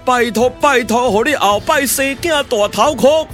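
A man's voice: very high-pitched, unhurried, a bit bright. A man with a Taiwanese accent voicing a blustering, cowardly, stammering foreign commander in a theatrical puppet radio drama. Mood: terrified stammering. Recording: music under the voice.

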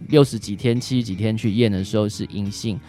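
A man with a Taiwanese accent and a fairly low voice answers as a medical guest on a radio show. He explains conversationally, closing phrases with little tag particles, over faint guitar music. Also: steady tone, fast talker, mellow. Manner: frequent short tag particles at phrase ends. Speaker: doctor answering questions, guest.

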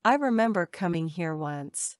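A woman reading short English phrases for a language lesson, saying each one slowly and carefully twice. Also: lowish voice, animated, no room sound, clean studio sound. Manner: measured, careful enunciation. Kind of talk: slow repeated drill phrases.

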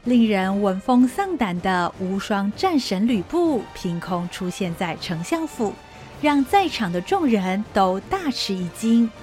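A woman's voice: mid-range voice, animated, unhurried, darkish tone, faint music behind. A woman narrating a historical radio drama in a vivid, dramatic storytelling style.

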